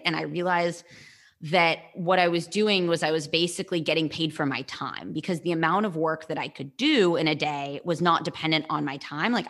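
A woman's voice: low voice, fairly bright tone. A young woman, a nutrition coach, chatting casually as a podcast guest and rambling with lots of "like" fillers. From United States.

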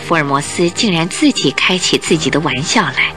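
A woman's voice: low voice, animated, normal speed, pushed and bright, faint music behind. A woman reading scripted narration for a Mandarin radio drama.

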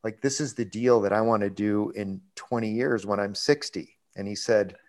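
A man in his forties, a restaurant executive, telling his career story in a relaxed, rambling way with lots of fillers. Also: fairly low pitch, moderate pace. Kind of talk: conversational interview storytelling.

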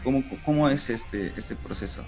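A man's voice: medium pitch, normal speed, a little mellow, faint music behind. A man interviewing a musician in a casual, curious way.

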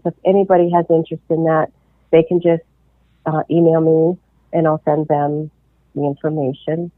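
A woman's voice: deep voice, dry recording. Woman guest on a tinny phone line. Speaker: animal communicator guest. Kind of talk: casual interview talk.

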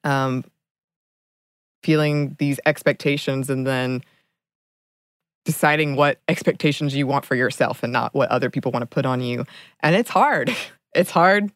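A woman's voice: extremely low pitch, moderate pace, long pauses, no room sound, studio quality. A young woman co-hosting a book podcast, talking earnestly in a casual chat and laughing often between thoughts. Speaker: podcast co-host.

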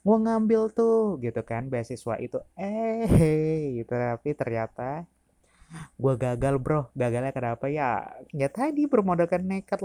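A man's voice: medium pitch, dark tone, no echo, studio quality. Chatty young man in Jakarta slang. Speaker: university staff. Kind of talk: casual storytelling.

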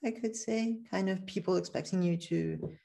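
Low creaky-voiced woman asking questions, hesitant, lots of "kind of". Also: moderate pace, warm tone. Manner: hesitant, with many fillers and restarts. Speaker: interviewer. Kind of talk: conversational interview.

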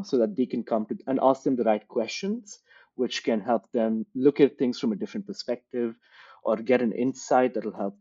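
A man from India, a career coach, giving thoughtful, measured interview answers built around examples. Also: medium pitch, dark tone, breathy edge, muffled recording.

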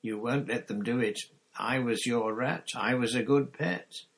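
A man's voice: unhurried, gravelly. A man voicing a terrified, grovelling man who whines and pleads for his life in a storybook reading. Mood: desperate. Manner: whining, pleading, squeaky.

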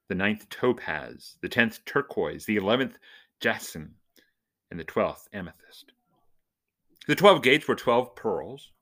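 A man's voice: on the low side, fairly bright tone. A man hosting a podcast, talking in a jokey, nerdy way. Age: adult, husband and father. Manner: jokey, self-described nerd. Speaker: podcast host and church minister. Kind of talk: casual humorous podcast monologue.

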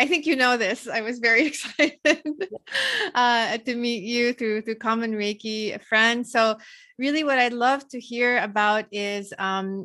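A woman hosting a podcast from the Philippines, asking questions in a friendly way with many fillers and restarts. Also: on the high side. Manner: warm encouraging interviewer with many fillers and restarts. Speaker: podcast host. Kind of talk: casual interview chat.

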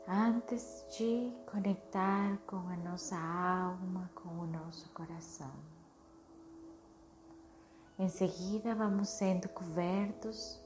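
A woman leading a guided meditation in a soothing, unhurried way, with short phrases and long pauses. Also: medium pitch. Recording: music behind the voice. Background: meditation music.

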